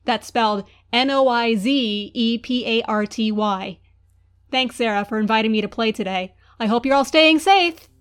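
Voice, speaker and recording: A woman's voice: fairly high pitch, moderate pace, clean tone. A woman presenting a quiz segment as a guest, explaining step by step. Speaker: guest Foley artist.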